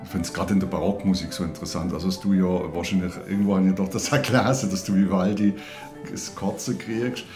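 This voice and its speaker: A man's voice: low-pitched, steady tone, fast talker, music in the background. A man chatting casually as an interviewer, asking questions in an informal way. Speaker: interviewer, radio maker. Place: at the guest's home.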